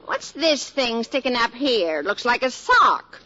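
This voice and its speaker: An actress playing a loyal, sensible housemaid in a 1940s radio sitcom, with a deadpan, tactful manner. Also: female voice, fairly high pitch, unhurried, full character voice. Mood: dry, skeptical. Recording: muffled, noisy radio recording before audience.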